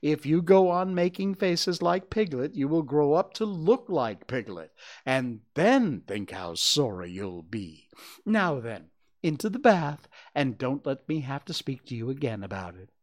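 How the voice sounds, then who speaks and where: A storybook reader voicing a brisk, motherly female kangaroo, teasing and firm, by turns soothing and mock-severe. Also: medium pitch, very animated, darkish tone, pitched above the performer's own voice. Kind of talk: children's storybook character voice.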